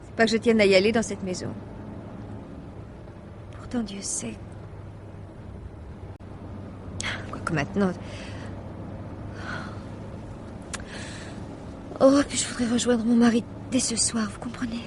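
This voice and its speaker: A woman's voice: a little high, fairly even intonation, fairly quick, noisy background, lightly acted. A woman playing an anxious, hesitant wife confiding in her driver in a French radio mystery drama, breaking off and restarting her sentences. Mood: wistful.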